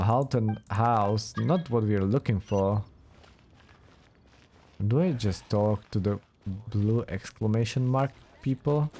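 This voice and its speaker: A man's voice: fairly low pitch, dark tone. A man doing casual let's play commentary, wry and musing aloud as he goes. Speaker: gaming let's play host. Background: video game music.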